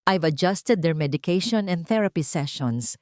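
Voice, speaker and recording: Woman playing scheming wealthy woman, Filipino accent, falsely soothing, assured, lilting pitch, audiobook drama. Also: animated, normal speed, a little mellow, no room sound, clean studio sound, close to an everyday voice. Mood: smoothly reassuring. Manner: assured, explanatory, mixes English into her talk.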